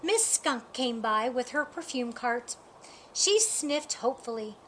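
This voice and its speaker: A woman reading a children's picture book aloud in an expressive, deliberate way. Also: fairly high pitch, noisy background. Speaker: storybook reader.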